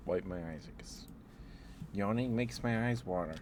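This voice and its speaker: Male ASMR streamer, lower register, gentle drowsy casual delivery, close mic with clear background noise. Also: unhurried. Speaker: live streamer. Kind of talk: rambling ASMR livestream chat.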